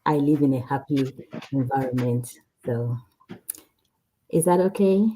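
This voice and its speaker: A woman from Ghana, a guest on an online interview, speaking in a relaxed way, often laughing and repeating herself. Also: very deep voice, measured pace, slight background noise, poor audio. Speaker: guest, cardiac sonographer. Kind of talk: casual interview.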